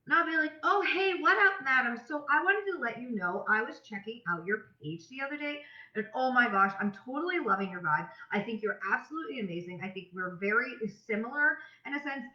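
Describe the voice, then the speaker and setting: A woman's voice: a little high, lively intonation, a little fast. A woman talking casually and emphatically to followers on a live stream, with informal slang, as a coach for a health and fitness business. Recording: dull, roomy live-stream audio.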